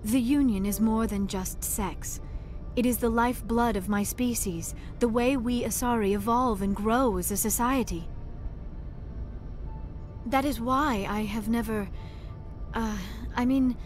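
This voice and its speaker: A woman's voice: a little high, steady tone, unhurried, soft background music, close to an everyday voice. A woman playing a young female alien scholar in a video game, earnest and reserved, with formal, precise speech. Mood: flustered. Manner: formal, precise wording, avoids contractions. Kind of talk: video game dialogue acting.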